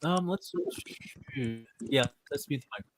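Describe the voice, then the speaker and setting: A man with a cold, leading a casual online music class in a loose, halting way, heard over a computer mic. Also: fairly high pitch, a little hiss or hum. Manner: relaxed, loose and halting with fillers. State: stuffed up with a cold. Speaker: class teacher.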